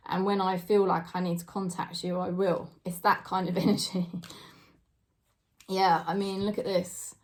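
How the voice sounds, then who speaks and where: A woman in her forties with a British accent, giving a casual tarot reading to camera and talking in a chatty, hesitant way with many fillers and soft laughs. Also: on the low side, level tone, moderate pace. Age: 40s. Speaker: tarot reader.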